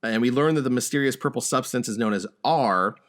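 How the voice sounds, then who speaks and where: A man with a medium-pitched voice talks casually as a podcast host. His delivery is halting, with stammered starts as he works through his thoughts in conversation. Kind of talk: casual movie discussion.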